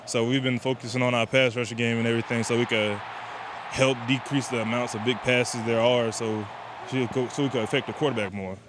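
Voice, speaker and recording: A young man answers interview questions as a college athlete. His pitch is average, and clear outdoor noise with vehicles runs behind him. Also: little pitch movement, fairly quick. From Georgia, USA. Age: young adult. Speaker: college football player. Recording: noisy outdoor recording, traffic rumble.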